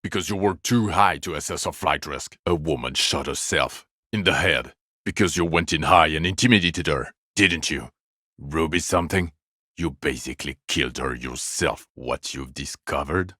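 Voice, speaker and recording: An actor playing a bitter, exasperated police detective in a video game, sardonic and accusatory, scolding a drunk partner. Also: male voice, sits low, moderate pace, fairly bright tone, dry recording, studio quality. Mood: low, sardonic. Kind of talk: dramatic game dialogue, scolding.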